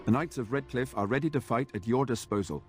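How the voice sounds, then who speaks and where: An actor playing an earnest, devout knight in a fantasy video game, formal and solemn, resigned to a doomed battle. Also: male voice, medium pitch, quick pace, breathy edge, faint music behind, lightly acted. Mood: grave, resolute.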